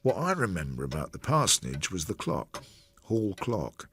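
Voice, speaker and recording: Low-voiced, measured Victorian investigator, music underneath. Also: male voice, wildly swooping pitch, fairly bright tone, slight background noise, heavily acted. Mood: reflective. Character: measured Victorian investigator recounting a failed case. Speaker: narrator. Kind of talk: first-person radio drama narration.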